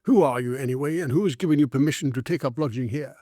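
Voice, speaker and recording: A man's voice: medium pitch, quick pace, mellow, no room sound, studio quality, lightly acted. Man playing a stern commanding police constable. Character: stern gruff male police constable, later kindly.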